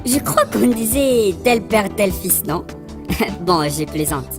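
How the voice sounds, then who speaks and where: A woman puts on a cheeky cartoon schoolboy. The voice is mid-pitched for a woman, and music plays clearly behind it. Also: animated, slow. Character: Bill, cheeky, joking schoolboy in love. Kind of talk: cartoon voice acting.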